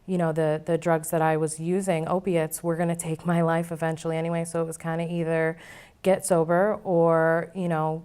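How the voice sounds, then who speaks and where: Woman, interview guest, clear smooth voice, flat intonation, candid, conversational personal account. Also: deep voice, normal speed. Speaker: interview guest, former client now board member.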